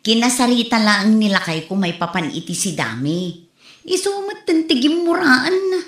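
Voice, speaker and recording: A woman's voice: a little high, very animated, normal speed. Woman playing a fed-up daughter-in-law, echoey. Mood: complaining. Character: fed-up daughter-in-law complaining about a stingy old father-in-law. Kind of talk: Ilocano comedy radio-style drama. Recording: roomy echo on the voice.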